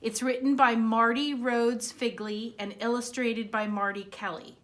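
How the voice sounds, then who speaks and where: A woman reading a children's picture book aloud as a storytime host, with expressive, dramatic emphasis and a way of speaking directly to young listeners. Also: a little high, a little slow.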